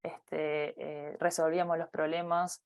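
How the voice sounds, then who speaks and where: A woman in her thirties with a Uruguayan accent, giving a personal career talk, pausing often with fillers and restarting her phrases. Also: sits low, little pitch movement, smooth. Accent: Uruguayan Spanish. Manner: hesitant, many fillers and restarts. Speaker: company partner giving a talk.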